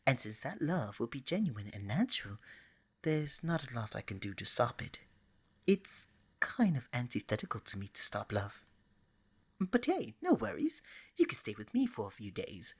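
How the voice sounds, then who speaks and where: A woman's voice: rumbling low, sing-song, normal speed, breathy edge, exaggerated voice. A woman playing a flirty, scatterbrained god of love in an ASMR romance roleplay, speaking to the listener in a playful, coaxing way. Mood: affectionate, explaining. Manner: playful, coaxing, bubbly and hesitant by turns.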